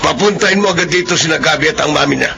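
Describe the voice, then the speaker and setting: Middle-aged man voicing a stern, vengeful father, slightly high voice, music under it. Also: very fast, creaky. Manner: stern, accusing. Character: furious father out for revenge. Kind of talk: radio drama acting.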